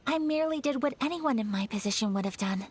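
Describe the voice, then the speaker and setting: An actress playing a polite, earnest young noblewoman in a video game, speaking in a formal, measured and reserved way. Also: high-pitched, lively intonation, slight background noise. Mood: modest, earnest. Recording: game music behind the voice.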